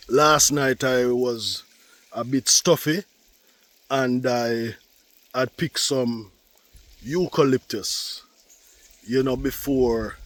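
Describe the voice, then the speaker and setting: A man with a Jamaican accent chatting casually to viewers as a gardening vlogger, unhurried and repetitive, slightly congested with a cold. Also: medium pitch. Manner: unhurried, repetitive, with frequent "yeah" and "you know". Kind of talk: casual vlog talk to viewers. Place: outdoors in a garden.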